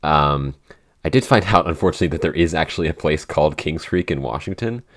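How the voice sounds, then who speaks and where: A man in a casual unscripted podcast Q&A, talking in a halting, rambling way with lots of "like". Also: extremely low pitch, lively intonation, fairly bright tone. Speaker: podcast creator and co-host answering listener questions.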